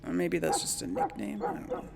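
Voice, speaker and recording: A woman's voice: very deep voice, huge pitch swings, brisk, gravelly. A woman hosting a gaming channel, giving casual role-play commentary as she plays.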